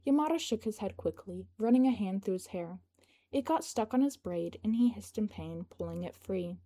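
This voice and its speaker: A woman's voice: medium pitch, expressive, moderate pace, dark tone. A woman reading a scripted piece of fan fiction as its narrator.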